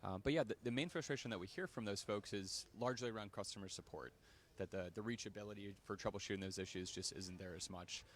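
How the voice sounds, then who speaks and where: A man walks through his company's software in a casual live chat, friendly and smooth like a practiced salesman. His voice sits a little low. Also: fast talker. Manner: friendly, polished, salesy explainer. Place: conference venue, indoors.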